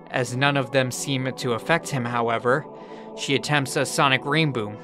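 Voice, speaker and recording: A man with a bright, forward voice narrates a scripted recap of a story. His pitch sits in an ordinary male range, and music plays clearly underneath him.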